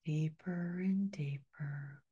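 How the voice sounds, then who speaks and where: A middle-aged woman guiding a hypnosis session in a slow, soothing way, often sinking into a soft whisper. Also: low voice, husky, lo-fi sound.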